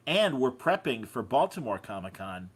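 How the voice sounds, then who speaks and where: A man's voice: medium pitch, big pitch swings, normal speed, slightly rough. A man hosting a podcast in casual conversation, telling anecdotes with enthusiasm. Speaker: podcast host. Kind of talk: casual chat.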